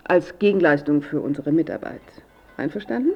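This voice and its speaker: An actress playing a controlling, businesslike middle-aged daughter guarding her father in a radio drama, brisk and formal. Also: deep voice, animated, husky, lo-fi sound, exaggerated voice.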